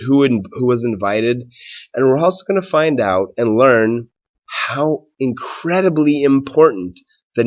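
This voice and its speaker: A man who is a schoolteacher doing a read-aloud for children and talking to pupils in a measured, simple way. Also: medium pitch, highs cut off.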